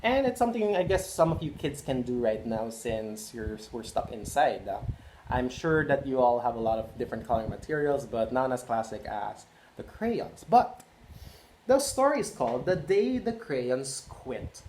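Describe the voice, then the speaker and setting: A reader talking gently to children, reading a picture book aloud and asking them questions. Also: medium pitch, big pitch swings, normal speed. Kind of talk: read-aloud for kids. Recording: roomy echo with faint noise.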